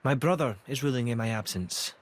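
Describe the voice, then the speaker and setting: An actor playing a dutiful, modest young emperor in a video game, speaking in a measured, formal and polite way. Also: male voice, medium pitch, close to an everyday voice. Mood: formal, resolute. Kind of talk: video game cutscene acting. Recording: loud music under the dialogue.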